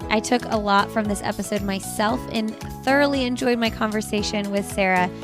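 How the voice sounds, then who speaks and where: A woman's voice: mid-range voice, brisk. An American woman hosting a parenting podcast, reading ads and interviewing in an upbeat, enthusiastic way. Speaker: podcast host. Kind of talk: host intro, sponsor read and interview. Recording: music playing behind the voice.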